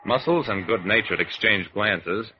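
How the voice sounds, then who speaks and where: An actor playing a wry, commanding hard-boiled detective, narrating deadpan in a 1940s-style radio drama. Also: male voice, on the low side, a little slow, a little hiss or hum, lightly acted. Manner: deadpan, wisecracking. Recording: dull old radio recording.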